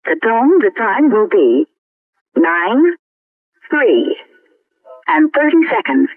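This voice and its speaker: A woman announcing the time as a recorded speaking clock, in a measured, formulaic delivery over a telephone line. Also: on the high side, sing-song, dry recording. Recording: thin, phone-like time-signal tape.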